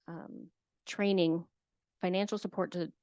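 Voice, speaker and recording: A woman who works as an extension educator, explaining a program to a county committee in a hesitant way, with many filler pauses. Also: fairly low pitch, steady tone, dark tone, no room sound. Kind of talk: committee meeting presentation.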